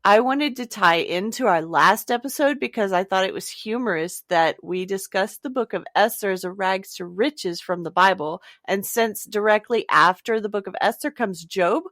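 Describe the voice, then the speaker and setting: A woman's voice: medium pitch, normal speed, few pauses, a bit bright, dry recording. Woman chatting casually as a podcast co-host, really dry recording. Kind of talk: casual book discussion.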